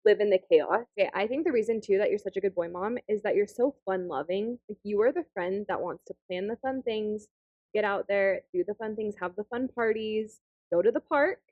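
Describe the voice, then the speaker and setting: A woman's voice: mid-range voice, fairly quick, no echo. Upbeat woman co-host, tinny sound. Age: 20s. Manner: upbeat, gushing, warm compliments. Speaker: podcast co-host. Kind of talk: casual chat.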